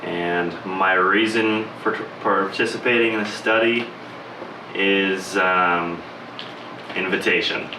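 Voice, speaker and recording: Deep-voiced hesitant twenty-year-old man. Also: steady tone. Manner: hesitant, halting. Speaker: study participant. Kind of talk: casual on-camera interview. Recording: echoey room, distant mic, noisy.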